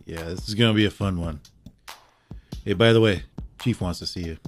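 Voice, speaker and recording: A man's voice: low voice, a little fast, faint music behind, close to an everyday voice. A man playing an easygoing, slightly hesitant police colleague in a comic audio drama. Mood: friendly, casual. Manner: casual, hesitant.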